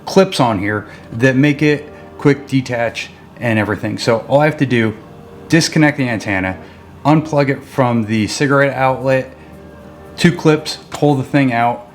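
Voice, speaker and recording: A man's voice: mid-range voice, unhurried, soft background music. A man giving a casual, informal how-to walkthrough of a gear install, explaining as he goes. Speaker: co-host of a vehicle gear channel.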